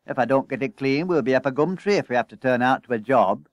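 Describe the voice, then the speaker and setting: A man's voice: mid-range voice, lively intonation, quick pace, no room sound, studio quality, natural voice. A man voicing an aggrieved fireman mechanic in a story, grumbling indignantly.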